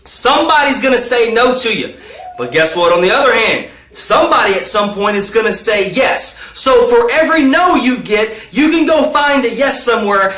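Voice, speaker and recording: A man in his late twenties giving quick motivational business tips to camera, upbeat and pushy, checking in with "okay?" as he goes. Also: extremely high pitch, pushed and bright, reverberant. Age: 20s.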